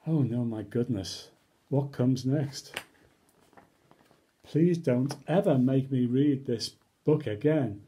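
A man giving an animated, theatrical read-aloud of a comic children's story, full of exaggerated expression and mock-reluctant asides. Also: medium pitch, takes it slowly, pauses often.

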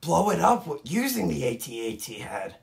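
A man voicing a wary, grumbling alien rebel sidekick in an improvised game fandub, gravelly and strained, casual and dry. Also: a little high, big pitch swings, normal speed. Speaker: amateur fan-dub voice actor.

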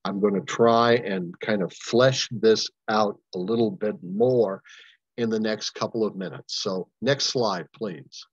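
An older man, a pastor leading a Bible study over a video call, preaching with emphatic, impassioned delivery and long pauses. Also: fairly low pitch, slightly rough, no echo. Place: home room, indoors. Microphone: webcam on a video call. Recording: dull lo-fi webcam audio, dry.

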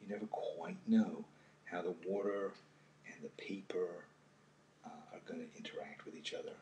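Deep-voiced older man musing as he works. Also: fairly even intonation, warm tone. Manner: halting, thoughtful, often trailing off to a murmur. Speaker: host of a live drawing session. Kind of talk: unscripted casual talk while drawing. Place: home kitchen, indoors. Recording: roomy echo, faint background noise.

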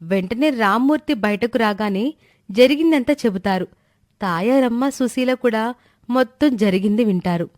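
Woman storyteller narrating a moral tale, highish voice, dry close sound. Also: moderate pace, a little mellow, no echo.

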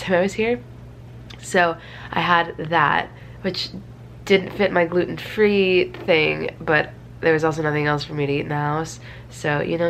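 A woman's voice: fairly low pitch, creaky. A woman in her twenties with a stuffy, congested nose, chatting casually and in a rambling, self-deprecating way to camera. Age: 20s. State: congested from a cold. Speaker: vlogger. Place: small bedroom indoors. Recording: faint background room noise.

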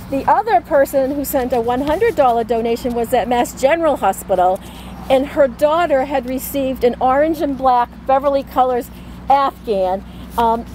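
A woman hosting an outdoor award ceremony from a podium, speaking warmly with frequent ums. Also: high-pitched, slight background noise, rough recording. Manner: warm, with frequent "um" fillers. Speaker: senior center organizer hosting a ceremony. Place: outdoors at a podium in front of a brick building. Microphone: podium mic.

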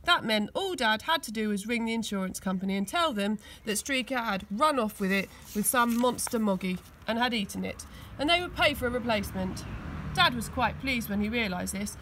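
An English woman reading a children's storybook aloud with expressive narration, recorded outdoors. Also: a little high, fairly quick, a little hiss or hum. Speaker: school teaching assistant reading aloud. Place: outdoors on grass.

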